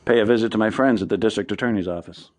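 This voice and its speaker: An actor playing a shrewd, confident defense lawyer in a radio drama, speaking in a clipped, self-assured way. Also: male voice, fairly low pitch, racing, close to an everyday voice. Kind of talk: 1930s-style radio drama acting.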